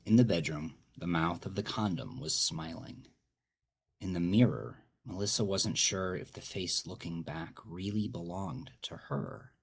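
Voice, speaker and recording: A man's voice: deep voice, lively intonation, a little slow, vocal fry, slight background noise. A man narrating scripted dramatic fiction as a storyteller. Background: plucked guitar music.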